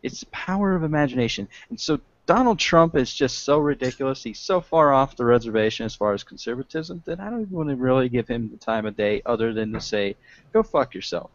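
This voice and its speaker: A man's voice: medium pitch, expressive. A young man co-hosting a casual political podcast from home over a video call, joking and laughing as he talks. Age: young adult. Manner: joking, laughs often, drawn-out delivery. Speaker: podcast co-host. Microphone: webcam mic on video call. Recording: dull lo-fi video-call audio.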